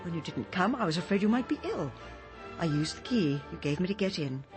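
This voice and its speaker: A woman's voice: fairly low pitch, lively intonation, brisk, over music, close to an everyday voice. An actress playing a troubled, earnest woman who speaks hesitantly in a British radio drama. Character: troubled, earnest woman gently confronting her friend.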